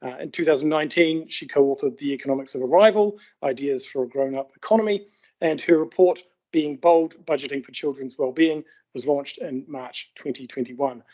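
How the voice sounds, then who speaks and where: A man's voice: fairly high pitch, moderate pace, dark tone, muffled recording. A man acting as moderator, reading a formal introduction with a list of credentials. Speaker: event moderator.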